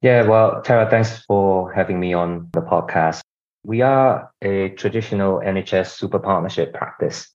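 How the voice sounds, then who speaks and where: A middle-aged man from Singapore, talking as a podcast guest with a very low voice. He explains at length and restarts phrases, dropping in "you know" as he goes. The recording sounds muffled and lo-fi. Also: moderate pace, darkish tone. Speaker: guest, healthcare executive. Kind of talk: conversational interview answers. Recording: dull lo-fi audio.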